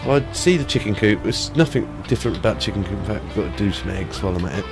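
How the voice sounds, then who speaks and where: Brief: male gaming host, lower voice, sniffly head cold, rambling chatty commentary, faint music underneath. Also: lively intonation, quick pace, vocal fry. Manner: chatty, rambling, many ums and uhs. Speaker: let's play host. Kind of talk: casual gameplay commentary.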